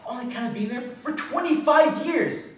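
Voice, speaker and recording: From far off in a very reverberant space comes a male actor as a bitter, agitated working-class clerk in a stage drama. His voice sits high and swings dramatically. He rambles in halting slang, like a man confessing and justifying himself. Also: expressive. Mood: pleading, resentful. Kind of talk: theatrical stage monologue. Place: theatre stage. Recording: echoey distant stage recording, faint noise.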